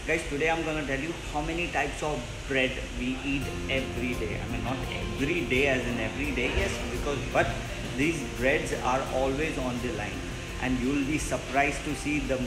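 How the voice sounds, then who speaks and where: A man's voice: medium pitch, fairly even intonation, moderate pace. A man in his thirties presenting a casual vlog to his viewers, recorded on a phone held at arm's length. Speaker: vlogger, channel host. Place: large empty indoor mess room on a ship. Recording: roomy echo with music behind.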